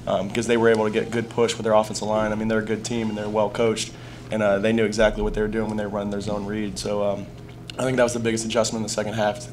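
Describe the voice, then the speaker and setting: A young male player explains defensive adjustments briskly. His low voice stays flat and quick. Faint noise runs behind him. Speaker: college football player.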